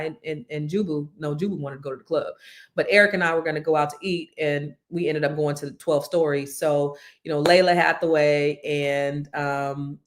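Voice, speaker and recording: Chatty woman host, voice husky-deep today. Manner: chatty, excitable storytelling with many fillers. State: voice deeper than usual from cigar smoke. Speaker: host of a live money and news show. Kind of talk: casual chat to viewers.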